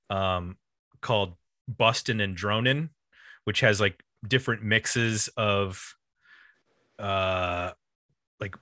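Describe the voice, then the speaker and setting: A man with a bright, forward voice and a close, dry sound. He talks casually as a music podcast host, feeling his way through thoughts in halting phrases. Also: on the low side, dry recording. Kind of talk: casual album discussion.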